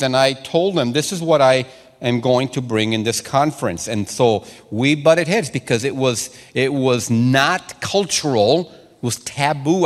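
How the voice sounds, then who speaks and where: Spanish-native male preacher, mid-range voice, animated storytelling, long church-hall reverb. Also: medium pitch. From Mexico. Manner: animated storytelling with repeated words and restarts. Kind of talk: sermon to a congregation.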